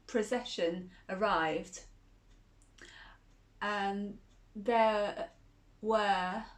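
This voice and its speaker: A woman's voice: medium pitch, a bit bright. A woman reading a children's picture book aloud, chuckling often and asking the listener questions. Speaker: children's storyteller.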